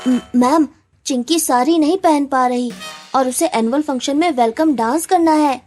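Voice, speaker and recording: A woman's voice: sits high, normal speed, darkish tone, soft background music, natural voice. A woman voicing an earnest, anxious young schoolchild in a children's cartoon. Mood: flustered.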